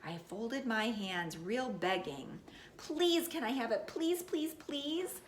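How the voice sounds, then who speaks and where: A woman's voice: a little high, very animated, slow, echoey room, slight background noise, pitched above the performer's own voice. A woman reading an audiobook, voicing a spirited, bossy little girl of about six who pleads and exclaims. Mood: pleading.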